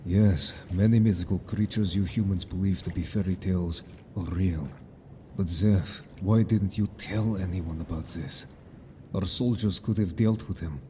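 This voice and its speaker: A man's voice: deep voice, steady tone, moderate pace, warm tone, slight background noise, natural voice. A man playing a stern but caring, protective merman in a fantasy audio drama, speaking firmly and formally. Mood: solemn. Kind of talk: scripted audio drama acting.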